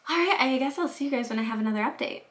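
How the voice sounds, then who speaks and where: A young woman talking casually into her phone in a vlog diary, chatty, with lots of "like" fillers, nervous and excited. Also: high-pitched, racing. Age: young adult. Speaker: vlogger applying to grad school.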